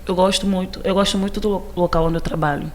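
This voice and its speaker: A woman's voice: lowish voice, steady tone, fast talker. A woman in her thirties from Cape Verde, chatting casually as a podcast guest and repeating phrases for emphasis. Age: 30s. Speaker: podcast guest, civil engineering teacher. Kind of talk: casual interview chat.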